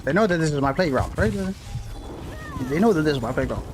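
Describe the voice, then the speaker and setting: A man streaming a game live, rambling casually and thinking out loud as he plays and answers chat. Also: on the high side, expressive, fairly quick, music in the background. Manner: rambling, laid-back, thinks aloud with fillers.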